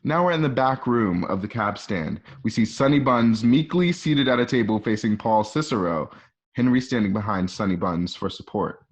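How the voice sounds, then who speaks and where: A man's voice: lowish voice, lively intonation, normal speed. A man reading scripted scene descriptions as the narrator of an amateur radio play. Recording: dull lo-fi home recording.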